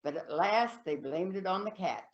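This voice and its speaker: A woman voicing a mischievous country kid in a folksy, animated comic poem recitation. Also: lowish voice, moderate pace, lo-fi sound, close to an everyday voice. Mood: gleeful, boisterous. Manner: animated folksy dialect recitation with dropped deadpan refrains. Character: mischievous gleeful country kid telling tall tale about sister's courting.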